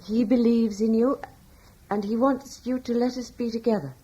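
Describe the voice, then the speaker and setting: Young wife, period radio drama. Breathy, gentle, quietly devout, hesitant but reassuring. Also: female voice, on the high side, fairly even intonation, normal speed, dull audio. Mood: pleading prayer. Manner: earnest, tender, hesitant.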